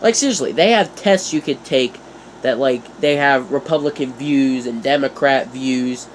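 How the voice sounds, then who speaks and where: A young man talks with a blocked, stuffy nose from a cold, rambling from one thought to the next with jokey, self-mocking asides. His pitch sits in the middle for a man. A faint air conditioner hum runs under his voice. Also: unhurried, poor audio. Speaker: YouTuber. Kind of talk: casual vlog talk.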